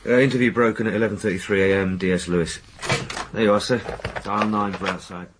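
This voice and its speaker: An actor playing a dutiful, plain-spoken British detective sergeant in a radio drama, deferential and questioning. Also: male voice, lowish voice, fairly even intonation, fast talker, background noise, close to an everyday voice. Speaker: police sergeant.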